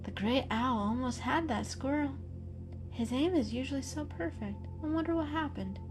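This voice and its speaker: A woman plays a shy, learned female cat in a storybook tale. She is calm and kindly, explaining with gentle precision over a clear music bed. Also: sits high, moderate pace, pitched above the performer's own voice, lightly acted.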